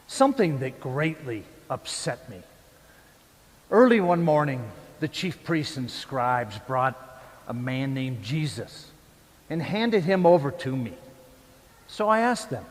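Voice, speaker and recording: A man playing a troubled, self-justifying Roman governor in a church dramatic monologue, speaking emphatically and deliberately. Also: mid-range voice. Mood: grave, then indignant. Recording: echoey church hall with long reverb.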